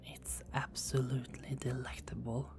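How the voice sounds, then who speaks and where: A woman's voice: rumbling low, flat delivery, moderate pace, creaky. A woman voicing a seductive, dominant female vampire in an ASMR roleplay, speaking in teasing, close whispers.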